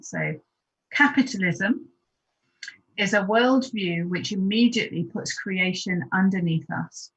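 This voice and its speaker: A woman hosting an online discussion, briskly reading out questions and inviting panelists to speak. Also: lowish voice, a bit bright. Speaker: discussion host. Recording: dull lo-fi audio.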